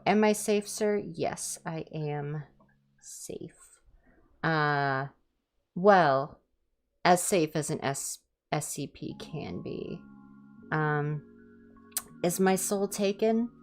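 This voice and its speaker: A woman hosting a livestream, chatting casually in ASMR style with a low-key, rambling, self-deprecating manner. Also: drawn-out words, creaky, long pauses, soft background music. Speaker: livestream host and reader.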